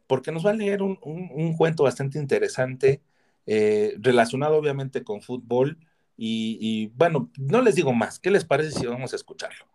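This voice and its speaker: A man co-hosting a podcast in joking, casual banter. His voice sits at an ordinary middle pitch. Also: animated, moderate pace, darkish tone. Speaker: podcast co-host.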